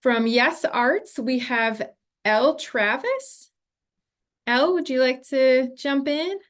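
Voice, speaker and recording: A woman's voice: sits high, slow. A woman moderating a webinar over a video call, polite and appreciative as she hands over to speakers.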